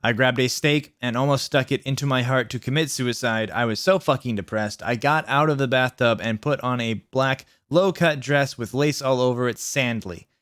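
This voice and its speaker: A man's voice: medium pitch, normal speed, hardly any pauses, a bit bright, dry recording, clean studio sound. A man reading a story aloud in a theatrical way, stumbling over the text now and then. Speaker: video host reading fan fiction aloud. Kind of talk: expressive story reading with ad-libbed asides.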